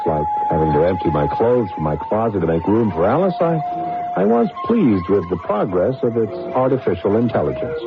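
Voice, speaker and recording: Casting: an earnest, flustered scientist, mid-pitched and animated, hesitant delivery, tinny vintage radio sound. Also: male voice. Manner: hesitant, stammering when challenged. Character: flustered, earnest male computer scientist and inventor. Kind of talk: radio drama acting and first-person narration. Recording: tinny, phone-like old radio recording.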